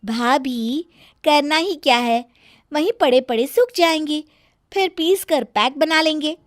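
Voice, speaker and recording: Woman voicing a cheeky, high-pitched young sister-in-law. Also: a little slow, no room sound, studio quality. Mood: teasing.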